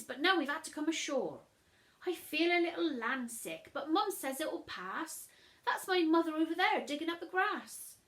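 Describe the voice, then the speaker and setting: A woman's voice: high voice, bright, forceful tone, pitched above the performer's own voice, full character voice. A woman voicing a cheerful, boastful young pirate boy from a storybook, full of seafaring slang.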